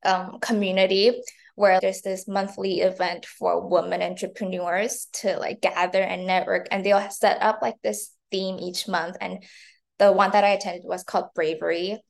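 A woman's voice: medium pitch, level tone, normal speed, vocal fry. A woman in her early twenties from Taiwan and China, chatting through casual interview answers and often saying "like". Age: early 20s. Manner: chatty, frequent "like". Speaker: interview guest, marketing intern and university student.

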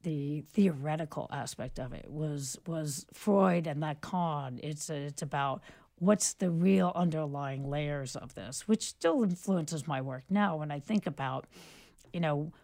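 A middle-aged woman with a notably low voice speaks as a guest in a relaxed interview. She rambles through her stories with frequent restarts and fillers. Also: a little slow, gravelly. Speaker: guest, photographer and filmmaker. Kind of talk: relaxed conversational storytelling.

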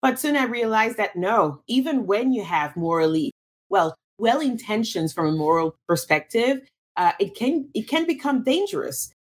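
A woman's voice: fairly high pitch, animated, normal speed. A woman from Cameroon, a guest in an interview, answering thoughtfully with frequent restarts and fillers.

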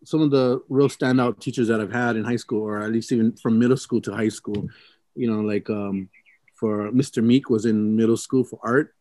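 A man's voice: on the low side, steady tone, normal speed, warm tone, no room sound. Reflective man, dry close sound. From Hawaii. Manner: rambling, reflective, many fillers and restarts. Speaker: interviewee. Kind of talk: oral history interview.

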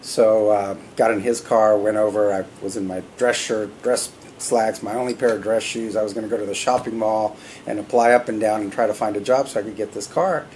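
A middle-aged man from California, a company CEO telling stories in a relaxed, conversational way as an interview guest, often pausing with "uh" and "you know". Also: on the low side, slight background noise. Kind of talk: casual interview storytelling.

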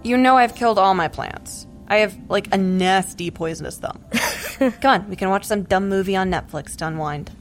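Woman acting a frank best friend, mid-pitched. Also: normal speed, soft background music, close to an everyday voice. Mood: light, teasing. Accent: American. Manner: casual, frank, supportive. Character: caring, blunt, persistent best friend, young woman. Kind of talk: naturalistic audio drama acting.

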